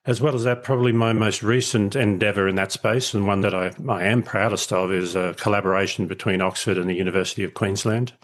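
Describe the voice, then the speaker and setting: Low-voiced Australian man, reflective guest. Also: steady tone, fast talker, hardly any pauses. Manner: reflective, explains at length with small restarts. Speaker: guest, leadership educator. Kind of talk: casual interview over a call.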